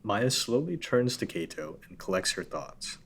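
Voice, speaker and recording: A man reading the stage directions and narration of a script at a table read in a steady, matter-of-fact way. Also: medium pitch, moderate pace, gravelly, slight background noise.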